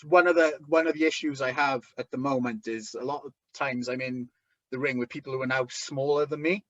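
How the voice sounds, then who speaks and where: A man with a thick Welsh accent, a wrestler chatting as an interview guest, talking haltingly with lots of restarts and fillers. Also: mid-range voice, steady tone, a bit bright, no room sound, lo-fi sound. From Wales. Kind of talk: casual interview chat.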